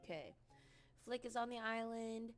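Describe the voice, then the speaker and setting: A woman's voice: fairly high pitch, unhurried. Chatty woman streamer getting over a cold. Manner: chatty, rambling, many ums and likes. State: recovering from a cold. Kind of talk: casual live-stream chat with viewers.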